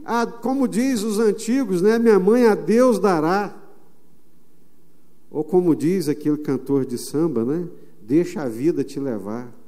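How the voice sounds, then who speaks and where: A Brazilian man preaching a sermon as pastor, emphatic, stretching some phrases slowly. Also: high voice, darkish tone. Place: church sanctuary. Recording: long reverb of a church hall.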